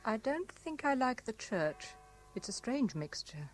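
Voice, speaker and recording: A woman's voice: on the high side, sing-song, measured pace, soft background music. A British actress playing a troubled, enigmatic, wistful young woman in a radio drama. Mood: brooding.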